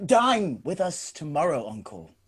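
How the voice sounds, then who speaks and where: A man playing a cheerful, warm-hearted young nephew who speaks earnestly and upbeat. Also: on the high side, very animated, unhurried, slightly rough, full character voice. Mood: pleading.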